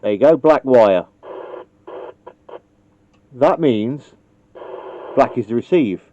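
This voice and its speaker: A man's voice: medium pitch, animated, slow, dark tone, slight background noise. A man casually demonstrating how to wire a radio, thinking aloud and joking at his own expense. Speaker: CB radio technician. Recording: dull lo-fi recording.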